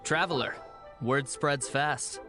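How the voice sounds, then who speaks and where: A man's voice: mid-range voice, close to an everyday voice. A man as a composed, dutiful guard. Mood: calm, reassuring. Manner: measured, formal. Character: composed, dutiful male city guard, reassuring. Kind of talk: video game voice acting. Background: game music.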